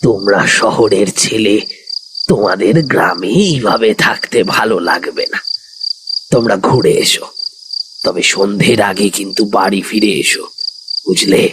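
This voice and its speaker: A man playing a fatherly, fearful older village uncle in an audio horror story, speaking gravely and dramatically. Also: on the low side, noisy background, natural voice. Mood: fatherly concern. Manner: grave, dramatic, slow warnings with repeated words. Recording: reverberant, with loud music bed.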